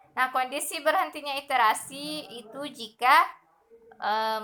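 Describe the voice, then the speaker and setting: A young woman explaining a numerical methods lesson over slides, steady and methodical, often pausing with fillers. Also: on the high side. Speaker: math lecturer.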